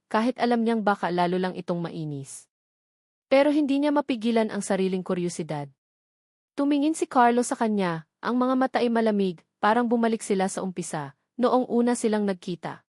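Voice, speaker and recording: A Filipino woman narrates a romantic audio drama in a mid-pitched voice. The sound is dry and close, without room echo. She phrases each line expressively and with measured suspense, as a storyteller does. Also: darkish tone.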